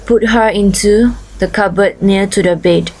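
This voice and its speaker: A woman tells a fairy tale for young children. Her voice sits at an average pitch, and her narration is simple and halting. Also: darkish tone, low-quality recording. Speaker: storyteller.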